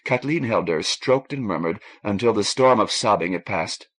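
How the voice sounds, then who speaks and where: A man's voice: lowish voice, lively intonation, normal speed. A man reading a novel aloud in a scripted, literary narration style. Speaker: audiobook narrator.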